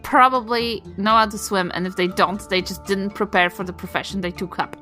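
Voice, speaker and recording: A woman's voice: mid-range voice, steady tone, a little fast, bright, forceful tone. A woman with a New Zealand accent joking wryly and casually as a player in a tabletop game. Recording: music playing behind the voice. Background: music.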